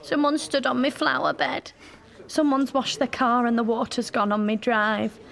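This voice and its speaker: A woman's voice: a little high, little pitch movement, normal speed, vocal fry, fairly bright tone. An actress playing a seasoned British policewoman in an audio drama, dry and informal as she mentors a newcomer. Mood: chatty, wry. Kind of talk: naturalistic audio drama acting.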